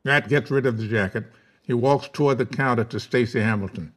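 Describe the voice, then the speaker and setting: A man's voice: mid-range voice, fairly quick. A man narrating a screenplay, reading out stage directions at a table read.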